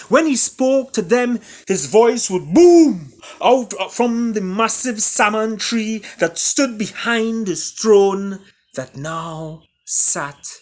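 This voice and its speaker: A man with a Trinidadian accent, an author and storyteller speaking theatrically, with booming emphasis and hushed drops. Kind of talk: dramatic storybook reading and introduction.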